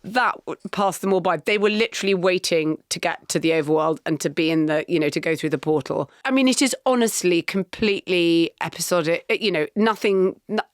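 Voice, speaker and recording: A middle-aged woman with an English accent, co-hosting a podcast, speaking in an animated, wry way with halting restarts. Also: fairly low pitch, no echo, studio quality. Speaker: podcast co-host. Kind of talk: casual chat.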